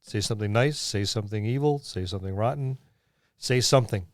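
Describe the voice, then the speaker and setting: A man's voice: fairly low pitch, a little fast, dry recording, clean studio sound. A man co-hosting a casual podcast, dropping wry, joking asides. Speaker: podcast co-host.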